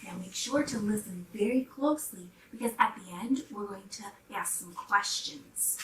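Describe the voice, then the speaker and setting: A woman reading a children's story aloud in an expressive storytelling style. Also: medium pitch, moderate pace, slight background noise. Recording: roomy, distant microphone.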